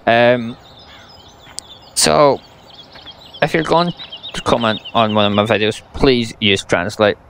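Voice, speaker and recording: Gravelly young Scottish man ranting. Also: medium pitch, takes it slowly, pauses often, fairly bright tone, a little hiss or hum. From Scotland. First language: English. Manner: blunt, exasperated, sarcastic. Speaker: gaming YouTuber. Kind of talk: ranting gameplay commentary.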